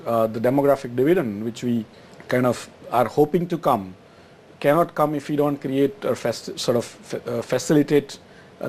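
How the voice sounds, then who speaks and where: A man's voice: mid-range voice, a little mellow, slight background noise. A middle-aged man from Gujarat, answering interview questions with many fillers and restarts. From Gujarat, India. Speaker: guest, exchange chief executive.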